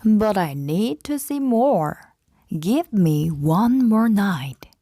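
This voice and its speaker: Woman reading as a measured, earnest soldier. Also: mid-range voice, sing-song, warm tone, dry recording, clean studio sound, exaggerated voice. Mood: earnest, requesting. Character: brave soldier, a man, earnest and careful.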